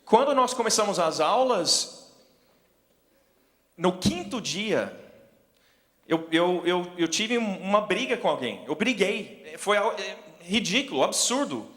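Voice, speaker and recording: High-voiced American man preaching in a reverberant hall. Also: big pitch swings, a bit bright. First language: English. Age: 40s. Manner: self-deprecating, halting search for words. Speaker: preacher, missions speaker. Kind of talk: sermon with personal storytelling. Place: large indoor hall, speaking to an audience.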